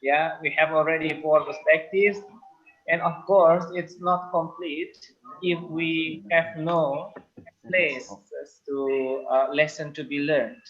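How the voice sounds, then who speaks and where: A man's voice: on the high side, flat delivery, slow pace, muffled recording. A man moderating a webinar over a video call, hesitating with "uh" fillers as he introduces speakers.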